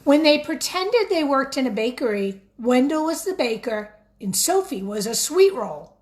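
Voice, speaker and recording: A woman teacher reading a picture book aloud expressively, pausing to put questions directly to young listeners. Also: sits high, slight background noise. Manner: expressive, addresses children directly.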